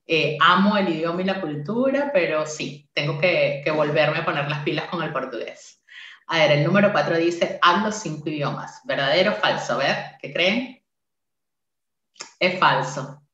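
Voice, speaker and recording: A woman's voice: low-pitched, moderate pace, fairly bright tone, echoey room, lo-fi sound. A woman in her forties, a university English teacher, giving a friendly interactive talk to a workshop with frequent "eh" fillers. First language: Spanish. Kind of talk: online conference talk.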